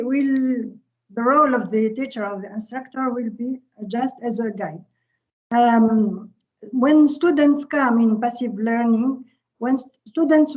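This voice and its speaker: A woman from Tunisia, an Arabic speaker, giving a workshop lecture over a video call, halting and pausing often with fillers. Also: on the high side, darkish tone. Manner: halting, with many filler pauses. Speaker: visiting lecturer. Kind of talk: online workshop presentation. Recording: muffled video-call audio.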